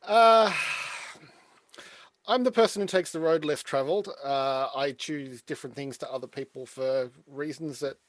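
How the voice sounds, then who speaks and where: A man's voice: fairly high pitch, moderate pace, dry recording. An Australian man in his fifties giving a hesitant, self-deprecating, unprepared introduction over a headset. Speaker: panelist. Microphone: earphone headset.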